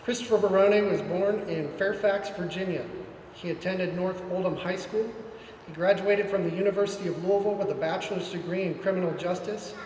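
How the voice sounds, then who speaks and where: High-voiced male announcer in a reverberant hall. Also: steady tone, noisy background. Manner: formal, measured announcing. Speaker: master of ceremonies. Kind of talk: reading out graduate introductions. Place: large indoor hall. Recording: echoey hall from far off.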